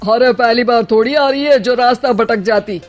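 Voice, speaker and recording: A woman's voice: a little high, normal speed, soft background music, pitched above the performer's own voice, close to an everyday voice. A woman voicing a middle-aged sister-in-law who is sarcastic, suspicious and grudging in a cartoon story. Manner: sarcastic, needling, exclamatory.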